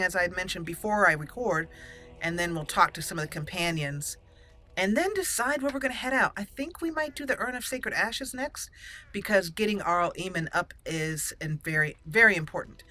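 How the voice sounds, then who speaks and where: A woman's voice: medium pitch, expressive, fairly quick, bright, forceful tone, soft background music. A woman hosting a video game let's play with casual commentary.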